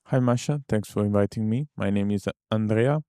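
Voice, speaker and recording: A man's voice: lowish voice, normal speed, dark tone, clean tone, no room sound, clean studio sound. A young man, a PhD student, introducing himself briefly on a video call. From Italy. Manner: brief, friendly self-introduction. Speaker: PhD student, panel guest.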